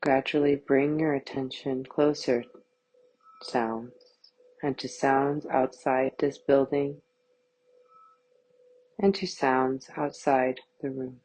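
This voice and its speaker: A woman guiding a yoga nidra meditation with calm, soothing, measured scripted instructions. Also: very deep voice, rough recording.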